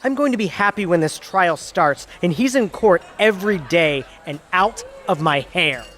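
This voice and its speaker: A man's voice: high-pitched, animated, moderate pace, fairly bright tone. An actor playing a sulky, defensive young male law associate in an audio drama, grumbling and flippant. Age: young adult. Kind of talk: audio theatre dialogue acting.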